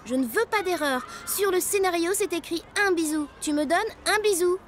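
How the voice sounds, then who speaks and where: A woman's voice: extremely high pitch, moderate pace, slight background noise. A woman voicing a bossy, gushing little schoolgirl in a French cartoon. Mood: demanding. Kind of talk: French animated series acting.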